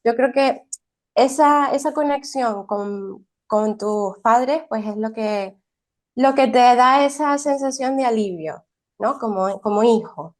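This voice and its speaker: A young woman with a slightly high voice, speaking as an early childhood teacher from Venezuela. She answers in a thoughtful, conversational way, often restarting her phrases and ending with a questioning tag. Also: takes it slowly.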